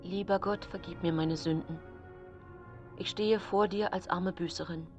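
A woman's voice: lowish voice, fairly even intonation, a little slow, darkish tone, muffled recording, faint music behind, close to an everyday voice. An actress playing an embittered, pleading woman in a grim historical radio drama. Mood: penitent.

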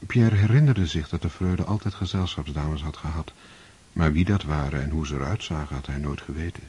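A man narrating a radio drama in a slow, grave, measured way. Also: very deep voice, dark tone, breathy edge.

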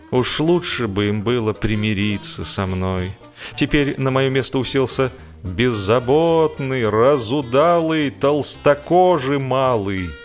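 Male audiobook narrator, mid-range voice, wry comic material, expressive and theatrical with playful pauses. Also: mid-range voice. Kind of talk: dramatised humorous audiobook narration.

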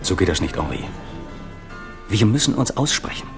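A man's voice: low voice, sing-song, moderate pace, music in the background. A man acting a scheming, resentful younger prince in a radio play, confiding and sardonic. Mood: conspiratorial.

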